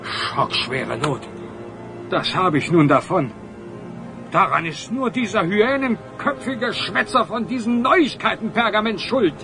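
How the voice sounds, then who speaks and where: A man playing a pompous, florid older wealthy magnate with a husky voice in a children's audio drama. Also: high-pitched, expressive, a little slow, music in the background. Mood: upset.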